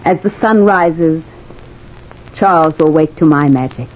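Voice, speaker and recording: Haunting, imperious specter bride in cavernous reverb. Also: female voice, deep voice, measured pace. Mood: cruel taunting. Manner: imperious, taunting, theatrical. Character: vengeful, possessive, haughty female specter bride. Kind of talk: old-time radio horror drama acting. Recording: muffled, noisy old radio recording, long reverb.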